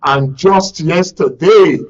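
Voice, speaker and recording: A man with a Nigerian accent hosting a current affairs radio show, speaking deliberately and repeating phrases for emphasis. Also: on the high side. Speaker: radio talk show host. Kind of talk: live current affairs commentary. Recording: dull, lo-fi broadcast audio.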